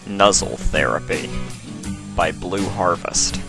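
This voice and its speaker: A man's voice: low-pitched, slow pace, vocal fry, music in the background. A man giving a casual, hesitant introduction as the host of a fan fiction reading channel, in an informal, apologetic way. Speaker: fan fiction reader hosting own channel.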